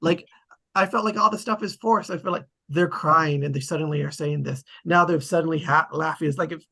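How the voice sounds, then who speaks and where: A man chatting casually in a group about a script, in a halting, blunt way that often restarts mid-thought. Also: on the high side, creaky, sharp tone. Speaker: table read participant.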